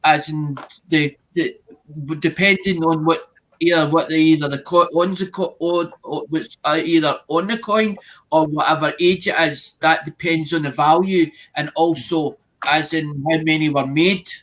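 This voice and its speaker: A man's voice: on the high side, fairly even intonation, normal speed, a bit bright, poor audio. A man explaining things in a casual stream chat, losing his thread and restarting sentences.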